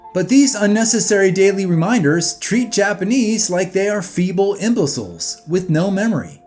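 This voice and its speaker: A man's voice: high voice, a little mellow. A man delivering scripted opinion narration in a blunt, emphatic, scornful manner. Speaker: video narrator.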